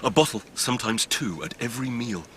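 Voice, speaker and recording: An actor playing a sociable, inquisitive gentleman who likes to needle others, in a radio drama. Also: medium pitch, brisk, slight background noise, close to an everyday voice. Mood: gossipy, teasing.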